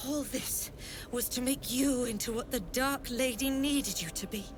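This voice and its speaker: Actress playing an imperious, menacing cult matriarch, slow-spoken. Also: female voice, a little high, noisy background, close to an everyday voice. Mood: proud, bitter. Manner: imperious, deliberate, coldly taunting. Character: haughty, menacing middle-aged female cult mother superior. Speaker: villain in a fantasy video game. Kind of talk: theatrical video game dialogue.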